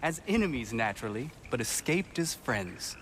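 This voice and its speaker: A man's voice: medium pitch, big pitch swings, normal speed, a bit bright, slight background noise. A man playing an earnest, idealistic and talkative elf in a video game. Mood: earnest, hopeful. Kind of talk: video game character acting.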